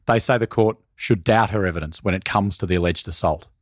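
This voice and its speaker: A man narrating a scripted documentary podcast in a measured, serious way. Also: sits low, no echo, studio quality.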